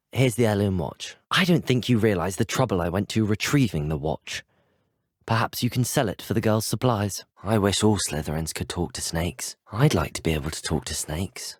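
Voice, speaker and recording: A slightly breathy young British male student wizard for a video game. He is earnest, curious and well-spoken, with lively, swooping intonation in a close, dry recording. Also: lowish voice, no room sound. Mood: earnest, polite. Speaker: player character voice.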